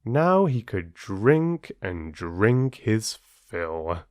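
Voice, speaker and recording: Guy reading stories n chatting to listeners, kinda low voice, real slow, playful, super dry sound. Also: dry recording. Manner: playful, expressive storyteller. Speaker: audiobook narrator and channel host. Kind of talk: storybook narration and casual chat to listeners.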